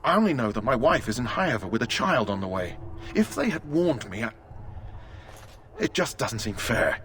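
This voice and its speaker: A man's voice: mid-range voice, very animated, moderate pace, fairly bright tone, slight background noise. Anxious, aggrieved male recruit. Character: fearful, complaining recruit warrior in fantasy game. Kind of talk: scripted fantasy game dialogue.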